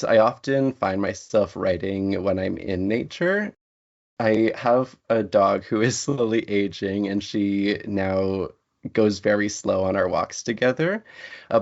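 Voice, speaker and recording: A man's voice: fairly low pitch, vocal fry. A man speaking as a writer being interviewed, answering in a thoughtful, articulate, reflective way. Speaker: interview guest, writer and lecturer. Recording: dull, lo-fi audio.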